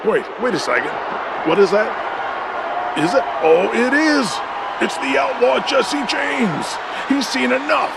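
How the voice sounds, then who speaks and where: A man's voice: very high voice, expressive, unhurried, vocal fry, full character voice. An actor playing an emphatic 1980s male TV wrestling announcer doing folksy play-by-play in an audio drama, with noisy arena ambience. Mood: appalled. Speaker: wrestling commentator.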